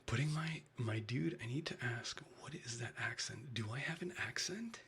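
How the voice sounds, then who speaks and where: A man with a Chicago Midwestern accent, whispering in a soft and chatty way during a live ASMR stream. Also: medium pitch, expressive, normal speed, gravelly, slightly rough.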